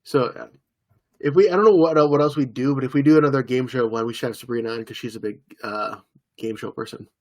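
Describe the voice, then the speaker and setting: A hoarse young man co-hosting a podcast, chatting in a relaxed, easygoing way and laughing often. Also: mid-range voice, fast. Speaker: podcast co-host. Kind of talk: casual chat.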